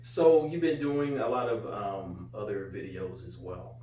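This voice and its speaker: A man's voice: on the low side, steady tone, measured pace, warm tone. Middle-aged American man, roomy distant sound. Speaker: co-host, a father. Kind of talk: casual chat. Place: small living room, on a couch. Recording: roomy, off-mic, faint hum.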